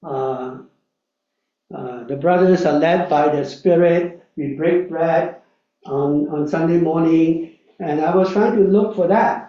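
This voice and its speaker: High, slow man in his 60s preaching. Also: dark tone. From Malaysia. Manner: chatty, anecdotal, frequent "uh" and "right?" asides. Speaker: lay preacher. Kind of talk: storytelling sermon. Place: church hall. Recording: echoey hall, distant mic, dull sound.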